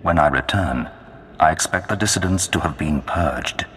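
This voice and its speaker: An actor voicing a menacing Sith lord in a video game, speaking in a grandiose, commanding way. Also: male voice, a bass voice, moderate pace, fairly bright tone, a little hiss or hum. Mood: imperious. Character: menacing male Sith lord of a dark empire. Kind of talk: video game villain acting. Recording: long cavernous reverb.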